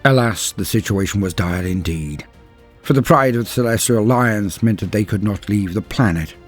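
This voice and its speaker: A man delivering dramatic scripted lore narration in a solemn, grave and measured storytelling manner. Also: fairly low pitch, husky, faint music behind. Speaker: narrator and host of a lore channel.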